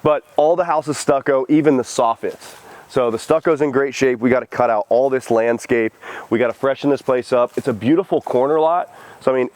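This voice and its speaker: A man's voice: medium pitch, a little mellow. Sniffly man touring a house. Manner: casual, profane, thinking aloud. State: head cold, sniffly. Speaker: house flipper showing a property. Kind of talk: casual walkthrough vlog. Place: outdoors at a house.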